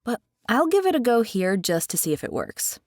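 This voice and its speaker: Brief: animated American woman, dry close sound, tutorial host. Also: fairly high pitch, moderate pace, no echo. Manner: chatty, animated, curious. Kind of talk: casual explainer. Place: carpeted bedroom. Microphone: headset mic.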